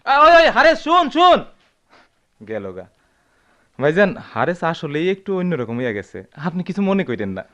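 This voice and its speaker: An actor playing an anxious, deferential village peacemaker with a rural Bangladeshi accent in a radio drama, fussing and pleading. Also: male voice, high voice, huge pitch swings, normal speed, pushed and bright, full character voice. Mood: agitated, scolding.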